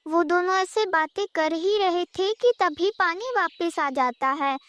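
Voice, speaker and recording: A woman's voice: extremely high pitch, a little slow, fairly bright tone, a little hiss or hum. A woman narrating a scripted children's cartoon story.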